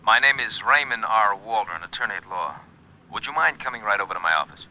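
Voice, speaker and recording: A formal attorney talks quickly in a low voice. He comes through a telephone filter on a muffled old radio recording. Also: animated, dry recording. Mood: brisk, formal. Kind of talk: 1950s radio drama acting.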